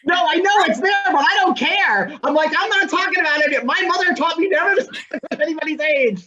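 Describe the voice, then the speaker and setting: A woman's voice: sits high, fairly quick, dull audio, a crowd in the background. A woman moderating a virtual book event, playfully passing along audience questions over a video call. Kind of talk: relaying audience questions, casual chat.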